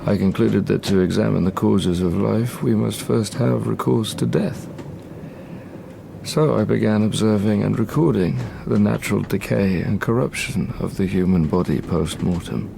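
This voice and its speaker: An obsessed young gothic scientist in an audio drama. His voice is low and gravelly, his delivery formal, measured and intense, with a haunted gravity. Clear noise sits under the voice. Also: dark tone. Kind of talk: audio drama acting, first-person narration and dialogue.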